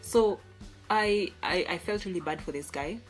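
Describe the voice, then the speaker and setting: A young woman from Kenya talks through posts in a loose, chatty vlog, laughing easily and restarting her sentences as she hunts for words. Her voice sits low. A little music plays faintly in the background. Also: moderate pace. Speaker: vlogger. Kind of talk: casual commentary reading tweets.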